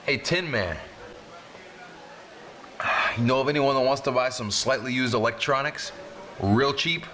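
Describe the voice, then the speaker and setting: An actor playing a wry, beleaguered resistance hacker in a video game cutscene, complaining in slang. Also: male voice, medium pitch, unhurried, pauses often, sharp tone. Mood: exasperated, wry. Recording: noisy game cutscene audio.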